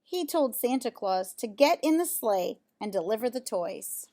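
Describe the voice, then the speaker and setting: A woman reading a children's picture book aloud, pausing for casual asides and questions to young listeners. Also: a little high, sing-song, mellow. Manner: pauses to comment on pictures and ask listeners questions.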